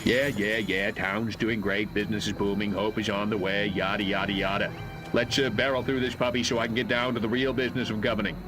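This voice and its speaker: A man's voice: lowish voice, steady tone, fairly quick, over music, lightly acted. A man voicing a sleazy, self-serving small-town politician in a video game, dismissive and smarmy. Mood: bored, smug. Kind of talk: video game cutscene acting.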